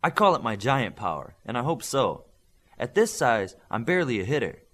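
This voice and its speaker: A man's voice: medium pitch, big pitch swings, normal speed, a bit bright. A man voicing an emphatic, competitive superhero with giant powers in an audiobook. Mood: boastful.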